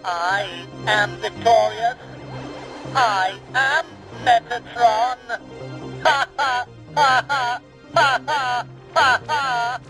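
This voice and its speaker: Slow, high-voiced, boastful machine villain. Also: male voice, heavily acted, poor audio. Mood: triumphant. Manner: pompous, declamatory. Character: grandiose, menacing evolved machine villain, boastful and superior. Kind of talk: audio drama villain acting. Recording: loud music behind the voice.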